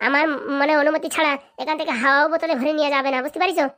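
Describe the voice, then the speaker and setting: A woman's voice: sits high, steady tone, very fast, a bit bright. A woman voicing a greedy, domineering village madam with a rural Bengali accent in a comedy cartoon. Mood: bossy, demanding. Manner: domineering, scolding. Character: bossy, greedy village madam running for election.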